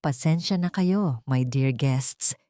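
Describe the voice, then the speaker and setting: A woman's voice: a bass voice, slow, mellow, no room sound, clean studio sound, pitched below the performer's own voice. A woman voicing a haughty glamorous mistress in an audio story, sugary and cuttingly condescending. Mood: sweetly condescending. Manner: sweetly condescending. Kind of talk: dramatic audio storybook acting.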